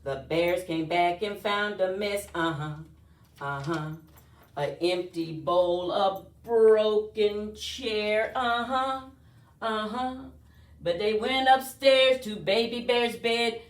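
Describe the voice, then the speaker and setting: Expressive woman reading storytime, slow and roomy. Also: mid-range voice. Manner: expressive, singsong delivery for children. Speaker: children's library storyteller. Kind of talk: read-aloud storytime with rhyming chant. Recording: roomy echo, distant mic, faint music.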